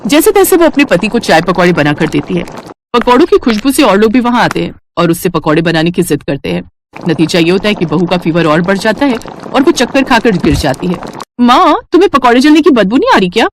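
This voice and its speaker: A woman's voice: on the low side, big pitch swings, fairly quick, a little hiss or hum. A woman reading scripted moral-story narration as the storyteller.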